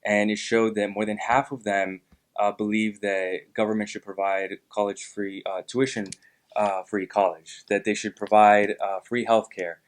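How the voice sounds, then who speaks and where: Young male guest from Miami, slightly low pitch, measured and hesitant interview delivery. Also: little pitch movement. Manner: measured, many ums and uhs. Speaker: guest, political club officer. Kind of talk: interview answers.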